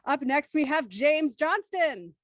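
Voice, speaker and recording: High-voiced woman hosting a playful chat. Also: lively intonation, slow pace, no room sound. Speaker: host of a cast discussion panel. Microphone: close mic on a video call.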